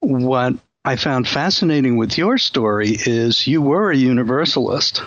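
Male host, measured and musing, dull lo-fi sound. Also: mid-range voice, lively intonation, fairly bright tone, dry recording. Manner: thoughtful, frequent ums and you-knows. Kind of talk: casual discussion of religion.

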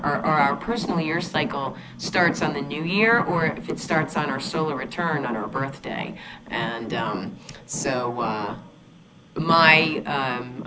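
A woman with a low, strong voice hosts a casual radio talk show. She chats eagerly with her guest, full of ums, restarts and gushing praise, and she talks loud enough that she barely needs a mic. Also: rough recording. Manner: chatty, enthusiastic, many fillers and restarts. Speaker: radio show host and numerologist. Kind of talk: casual radio interview chat.

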